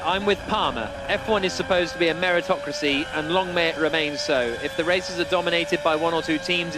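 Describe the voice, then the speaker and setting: A man's voice: a little high, noisy background. A man hosting live motorsport radio commentary with casual, jokey banter, often correcting himself.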